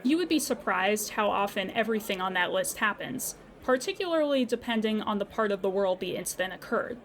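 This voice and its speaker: A woman playing a patient, courteous insurance customer service agent in a scripted audio comedy, speaking formally and with measured politeness. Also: mid-range voice, expressive, natural voice. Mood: patient, apologetic. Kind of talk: scripted comedy audio drama phone call.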